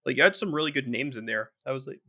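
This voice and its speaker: A man chatting casually on a podcast at a middle pitch. He rambles along with frequent restarts and trailing thoughts. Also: racing, sharp tone. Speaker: podcast co-host.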